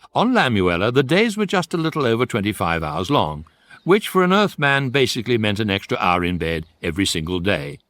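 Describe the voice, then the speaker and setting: A man's voice: mid-range voice, a bit bright, no echo. A man giving wry, urbane, droll scripted narration for a radio comedy.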